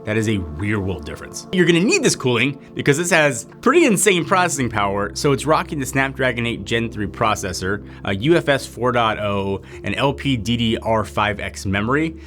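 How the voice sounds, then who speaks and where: A man's voice: mid-range voice, very animated, fairly quick, a bit bright, faint music behind. A man hosting a tech video, giving a casual, chatty sponsored product review full of "like" fillers.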